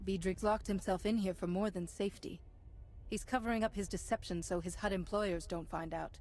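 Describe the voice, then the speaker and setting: A woman's voice: mid-range voice, steady tone, brisk, a little mellow, lightly acted. Woman as firm, commanding space knight. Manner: firm, authoritative, dry. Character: stern, commanding young female Jedi hero. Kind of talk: video game cutscene acting.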